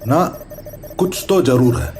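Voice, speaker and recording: A man's voice: medium pitch, expressive, takes it slowly. A man playing a focused paranormal investigator who guides a young woman in an audio horror drama. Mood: intent, guiding. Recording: clearly noisy, radio-like hum.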